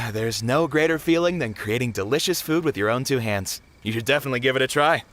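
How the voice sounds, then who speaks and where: A man's voice: mid-range voice, expressive, a little fast, a bit bright, slight background noise, close to an everyday voice. A man voicing a friendly, easygoing festival food vendor in a video game, casual, chatty and generous.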